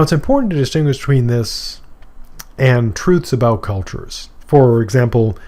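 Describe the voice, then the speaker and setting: Man, middle-aged, from Maine, philosophy professor, recorded lecture, mid-pitched voice, casual, explains with examples. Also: a little mellow. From Maine, USA. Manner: conversational, explains with examples and asides.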